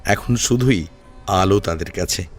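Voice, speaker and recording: A man's voice: deep voice, measured pace. A man narrating a story in a dramatic audiobook style. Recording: sitar music behind the voice. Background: plucked sitar music.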